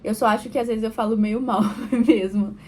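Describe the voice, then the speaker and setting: A young Brazilian woman with a Brazilian Portuguese accent talks casually to camera, chatty and self-deprecating, often breaking into a laugh. Her voice sits a little high. A faint background noise runs under the recording. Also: steady tone, a little fast, darkish tone. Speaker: vlogger.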